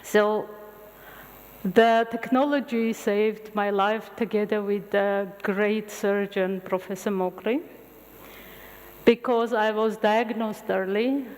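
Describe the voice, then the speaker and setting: A middle-aged woman whose first language is Armenian gives an open university lecture in English, telling stories with halting restarts. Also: medium pitch. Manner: animated storytelling with halting restarts. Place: large lecture hall. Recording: long hall reverb.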